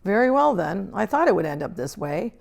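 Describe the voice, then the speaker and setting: A woman voicing a stern, controlled older female schoolteacher in a storybook, speaking in a measured, precise, formal way. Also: medium pitch, sing-song, gravelly, full character voice. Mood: resolute. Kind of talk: storybook read-aloud character dialogue.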